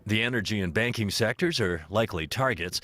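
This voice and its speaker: A man's voice: on the low side, expressive, a little fast, pushed and bright, slight background noise. A man reading scripted news narration as a reporter.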